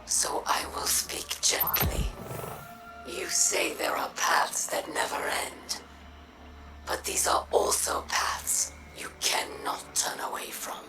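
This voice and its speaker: A woman playing a bitter, battle-worn alien pirate captain in a video game, breathy, rasping and deliberate. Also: deep voice, heavily acted. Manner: slow, deliberate, formal. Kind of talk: video game character dialogue. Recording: echoey, with music behind.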